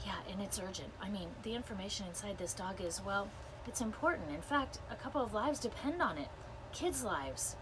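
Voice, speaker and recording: Woman as an eager, very animated young girl detective. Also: mid-range voice, normal speed, background noise, natural voice. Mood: urgent, pleading. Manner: casual, slangy, earnest. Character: eager, chatty girl amateur detective narrating her story.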